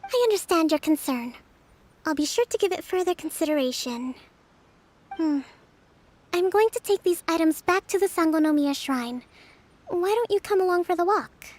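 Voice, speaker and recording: A woman playing a calm, dutiful young priestess leader in a video game, speaking with formal, measured diplomacy. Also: extremely high pitch. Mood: considerate, composed. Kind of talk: scripted video game dialogue.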